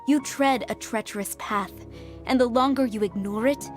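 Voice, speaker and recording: An actress playing a composed, enigmatic woman in a video game, speaking in a measured, solemn and persuasive way. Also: a little high, faint music behind, lightly acted. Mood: solemn, ominous. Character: composed, enigmatic woman preaching judgment and atonement. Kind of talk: dramatic video game voice acting.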